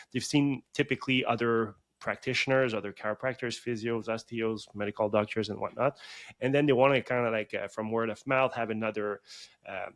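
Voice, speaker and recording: A man in his thirties, a chiropractor guest, talking in a loose, rambling way with many fillers and restarts. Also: mid-range voice. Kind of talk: conversational podcast interview.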